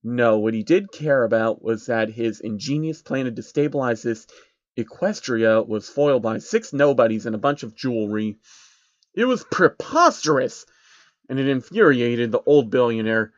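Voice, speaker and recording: A man's voice: mid-range voice, expressive, moderate pace, no room sound, highs cut off. A man reading fan fiction aloud as an amateur story narrator.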